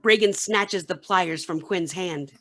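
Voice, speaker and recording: A woman's voice: lowish voice, takes it slowly, fairly bright tone. A woman reading scripted narration and stage directions for a radio play.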